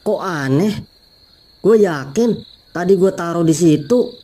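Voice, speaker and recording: A man's voice: high-pitched, lively intonation, takes it slowly, mellow, full character voice. A man voicing a curious, self-assured young male student in a cartoon, with casual slangy talk. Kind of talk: cartoon voice acting.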